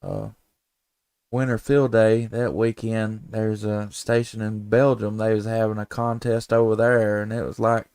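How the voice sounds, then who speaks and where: An American man chatting casually as an amateur radio host, in a folksy, easygoing way with thinking pauses. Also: lowish voice, flat delivery, a little mellow, clean tone, dry recording. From United States. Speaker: amateur radio operator, live stream host. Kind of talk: casual radio contact chat.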